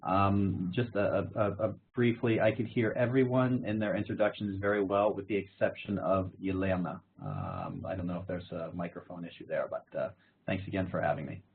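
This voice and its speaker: A man's voice: low-pitched, moderate pace, husky. A man joining a panel remotely by video call, speaking hesitantly with many fillers. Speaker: chamber of commerce director, remote panelist. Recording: tinny call audio, faint noise.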